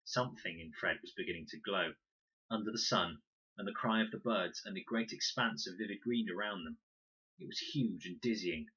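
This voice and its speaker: Man, lowish husky voice, bright and lively, expressive children's story narration, slightly dull recording. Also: moderate pace. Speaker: audiobook reader and teacher reading aloud. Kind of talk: animated scripted narration of a children's adventure story.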